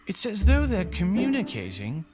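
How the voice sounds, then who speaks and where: An eloquent gentleman, animated. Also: sits high, normal speed. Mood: awed. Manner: eloquent, bookish, old-fashioned exclamations. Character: London banker, grown man awed by a fantastical island. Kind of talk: audio drama acting. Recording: music under the voice.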